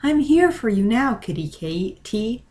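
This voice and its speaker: A woman's voice: fairly high pitch, very animated, unhurried, darkish tone. A woman voicing a sly male alien villain in a storybook read-along, teasing and coaxing.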